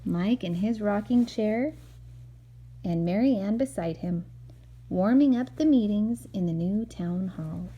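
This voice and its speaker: A woman's voice: medium pitch, lively intonation, measured pace, mellow, husky, dull audio. A woman reading a picture book aloud to a child as a mother, expressively, with chatty asides and questions.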